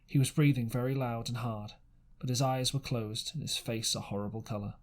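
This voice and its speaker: A man's voice: mid-range voice, steady tone. A man reading an adventure novel aloud as an amateur narrator, stumbling now and then and correcting himself. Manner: halting reading with misreadings and corrections. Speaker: amateur audiobook reader.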